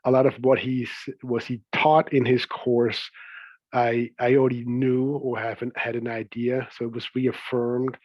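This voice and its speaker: Swiss-born middle-aged male guest, relaxed and talkative, lo-fi dry recording. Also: mid-range voice, unhurried, no room sound. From Switzerland. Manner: chatty, laughs easily, many "you know"s. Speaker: guest, coach. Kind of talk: relaxed podcast interview.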